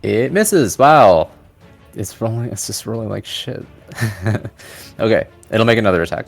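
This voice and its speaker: A man's voice: on the low side, lively intonation, a little slow, vocal fry, faint music behind. Casual man running a tabletop game. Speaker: game master. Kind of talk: narrating a tabletop roleplaying game.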